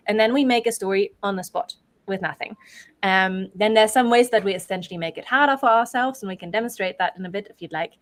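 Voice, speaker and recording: A woman's voice: mid-range voice, fast. A woman from Europe speaking as an interview guest, explaining things conversationally in a thoughtful, qualified way. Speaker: guest, improv theatre performer and organiser.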